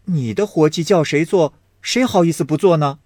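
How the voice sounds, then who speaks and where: A man voicing a lively, outspoken teenage girl in an audiobook reading of a classic novel, quick and teasing in a raised voice. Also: no echo, studio quality, lightly acted. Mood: teasing, indignant. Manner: quick, teasing, pitched high.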